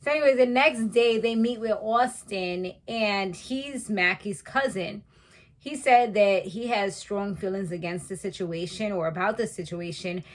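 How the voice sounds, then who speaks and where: A woman's voice: mid-range voice, unhurried. A woman in her thirties casually recapping a reality show, chatty and slangy, laughing at her own asides. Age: 30s.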